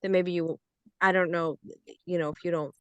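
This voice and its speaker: Rambling low-voiced woman, dry sound. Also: steady tone, moderate pace, smooth, no echo, dull audio. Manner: rambling, leans heavily on "like" and "you know". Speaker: actor on a script reading panel. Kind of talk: casual feedback discussion.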